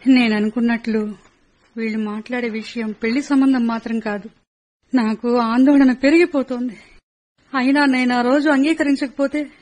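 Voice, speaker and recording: A woman's voice: high voice, darkish tone, close to an everyday voice, rough recording. A woman playing a worried, middle-aged soldier's wife in a radio play, telling her story in earnest and pleading with her husband. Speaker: narrator and lead.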